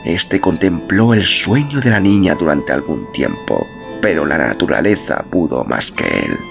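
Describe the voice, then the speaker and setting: A man with a low, gravelly voice narrates an audiobook. His delivery is measured and dramatic, with lively rises and falls, over clearly audible music. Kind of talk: expressive literary narration.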